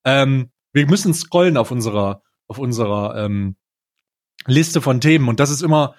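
A man's voice: mid-range voice, expressive, moderate pace. A man hosting a casual comedic podcast, talking in an informal, jokey, slangy way. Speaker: podcast host.

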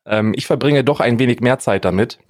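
A man's voice: mid-range voice, brisk, a little mellow, dry recording, studio quality. A man casually telling personal stories as a podcast co-host, self-deprecating and given to dramatic exaggeration.

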